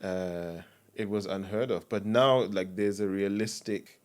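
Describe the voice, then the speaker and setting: Low-voiced man from Zimbabwe, reflective. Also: fairly even intonation. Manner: reflective, measured, trails off softly. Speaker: guest, sound engineer. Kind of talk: casual interview chat. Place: podcast studio.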